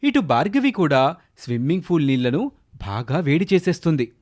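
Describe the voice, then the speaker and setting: Animated high-pitched male storyteller. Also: fairly quick. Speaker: storyteller narrator. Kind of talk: scripted children's story narration.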